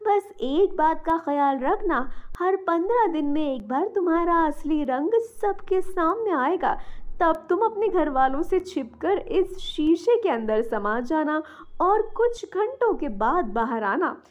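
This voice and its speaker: A woman voicing a slow, mysterious mirror sorceress. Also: very high-pitched, lively intonation, exaggerated voice. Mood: mysterious, beckoning. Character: mysterious woman, secretly ugly and scary, with a magic mirror.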